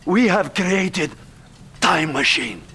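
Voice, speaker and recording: An actor playing an anxious, pleading Soviet scientist with a Russian accent in a game cutscene, urgent and agitated. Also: male voice, sits high, lively intonation, slow, sharp tone, a little hiss or hum, full character voice. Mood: frantic, pleading. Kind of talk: video game cutscene acting.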